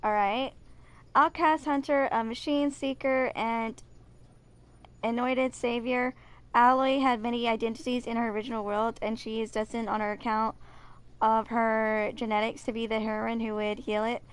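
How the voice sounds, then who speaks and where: A woman's voice: fairly high pitch, flat delivery, normal speed, clean tone. A woman in her twenties hosting a gaming video, chatting informally and reading aloud with some stumbles. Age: 20s. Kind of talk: casual live commentary, reading game text aloud.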